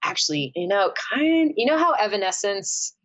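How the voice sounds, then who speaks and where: A woman, a singer, chatting casually on a podcast, telling animated stories and laughing often. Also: medium pitch, moderate pace. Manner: animated storyteller, laughs often, many fillers.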